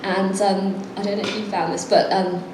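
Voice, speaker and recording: A woman's voice: mid-range voice, little pitch movement, normal speed, reverberant, background noise. A middle-aged woman author reading from her book and then chatting, self-deprecating and laughing often. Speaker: author and guest. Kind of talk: reading aloud then conversation. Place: event venue.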